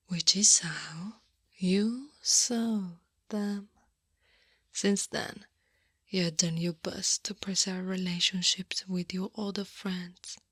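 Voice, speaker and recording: A woman playing a knowing female Krampus monster in an intimate ASMR roleplay, firm yet tenderly comforting, in a soft voice that often drops to a whisper. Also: medium pitch, no echo, clean studio sound. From Italy. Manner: slow, gentle, deliberate coaxing. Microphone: close-up microphone.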